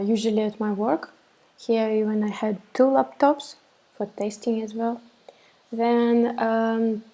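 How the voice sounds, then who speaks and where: A woman's voice: on the high side, dark tone. A woman in her thirties from Russia giving a live webinar on web development from a small room, speaking hesitantly with many fillers and restarts. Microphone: webcam on a laptop.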